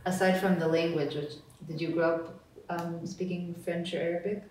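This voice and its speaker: A woman interviewer asking long, curious questions, with soft affirmations between the answers. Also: on the low side, little pitch movement, normal speed. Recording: echoey room, distant mic, light noise.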